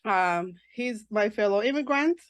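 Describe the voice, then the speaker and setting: Excitable Zambian-born woman hosting a podcast. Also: mid-range voice, a little slow, smooth, dry recording. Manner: warm, excitable, laughs often. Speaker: podcast host. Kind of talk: casual podcast interview. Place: New York.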